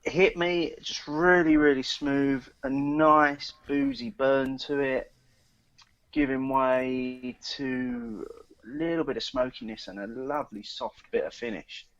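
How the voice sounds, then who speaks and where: A man's voice: medium pitch, steady tone, takes it slowly, a bit bright, lo-fi sound. A man co-hosting a beer podcast, chatting enthusiastically as he tastes, often repeating words as he talks. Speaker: podcast co-host. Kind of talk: casual chat.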